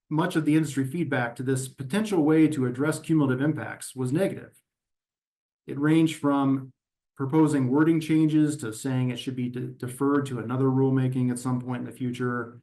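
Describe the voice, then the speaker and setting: A man speaking as an advocacy representative, delivering prepared testimony at a regulatory hearing in a measured, methodical and formal way. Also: medium pitch, fairly even intonation, darkish tone. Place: virtual meeting.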